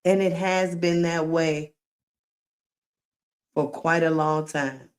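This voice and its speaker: Slow-talking woman livestreamer. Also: low voice, fairly even intonation. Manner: slow, drawn-out talk, addressing viewers directly. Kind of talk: casual livestream commentary to chat.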